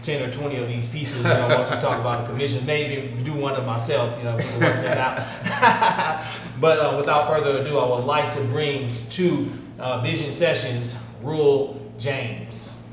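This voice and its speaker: Guy hosting a podcast, talky and excited, lots of ums, very echoey room. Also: mid-range voice, normal speed, slight background noise. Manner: chatty, filler-heavy, enthusiastic. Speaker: podcast co-host. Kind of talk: casual interview hosting.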